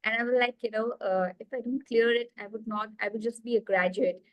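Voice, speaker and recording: A woman's voice: fairly high pitch, fairly even intonation, brisk, dry recording, dull audio. Eager Indian woman telling her story. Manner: eager storytelling with many fillers, gesturing. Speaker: guest, executive coach. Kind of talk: video call interview. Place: small room indoors. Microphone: video call.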